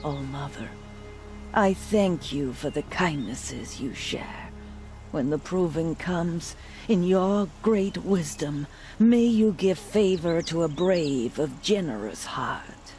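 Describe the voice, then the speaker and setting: A woman's voice: low voice, lively intonation, slow pace, darkish tone, soft background music. An actress playing a pious, aloof outcast woman in a video game, speaking in reverent prayer. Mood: solemn prayer. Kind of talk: video game dialogue acting.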